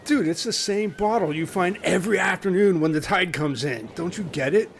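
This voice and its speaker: Man as an exasperated, higher-voiced castaway. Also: normal speed, a little hiss or hum. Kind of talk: comedy radio play acting.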